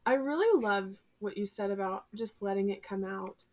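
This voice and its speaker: A woman's voice: medium pitch, moderate pace, a little mellow. A woman who teaches music, hosting a podcast in a friendly, conversational way. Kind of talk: podcast interview.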